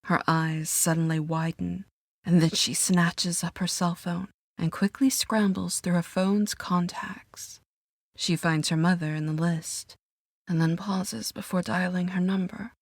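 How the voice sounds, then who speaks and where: A woman's voice: on the low side, steady tone, measured pace, dry recording, clean studio sound. A woman reading scripted, dramatic story narration.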